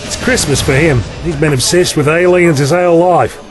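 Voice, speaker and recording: A man with an Australian accent acting a gruff, mocking desert bar owner in an audio drama, blunt and slangy. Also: on the high side, moderate pace, natural voice. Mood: sardonic, blunt. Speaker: actor. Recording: loud music bed behind the voice.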